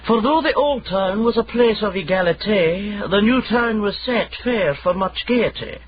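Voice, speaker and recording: An actor playing a wry poet narrator who recites verse about an old city in a halting, theatrical way. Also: male voice, high voice, muffled recording. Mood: declamatory. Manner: halting, self-correcting, declamatory.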